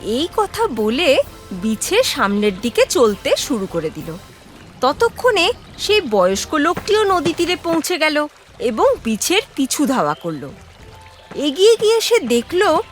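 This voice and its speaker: A woman tells a children's story in a high, lively voice. She takes it slowly and expressively, addressing young listeners, with music playing behind her. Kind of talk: scripted children's story narration.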